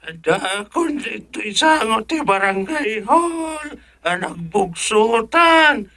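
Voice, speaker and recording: An actor playing a cranky, wailing old village elder in an Ilocano radio comedy, scolding in an Ilocano accent. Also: male voice, high voice, expressive, slow, sharp tone, exaggerated voice. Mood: indignant.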